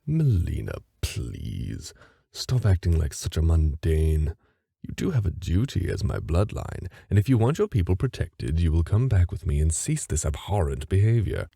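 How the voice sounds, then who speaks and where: A man's voice: low-pitched, very animated, moderate pace, gravelly, dark tone, husky, no room sound, clean studio sound, full character voice. An actor playing a haughty, manipulative fae father with a cold, formal, condescending way of talking in a fantasy audio drama. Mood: imperious demanding. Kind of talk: fantasy audio roleplay acting.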